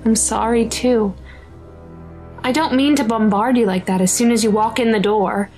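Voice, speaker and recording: A woman's voice: a little high, fairly even intonation, moderate pace, a little mellow, faint music behind, natural voice. An actress playing a protective, fretting mother of a schoolboy in an audio drama, with a nagging, anxious manner. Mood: affectionate.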